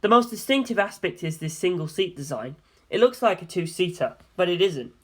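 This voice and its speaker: Narrator for first-person fiction, medium pitch, scripted audiobook read. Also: animated, a little fast.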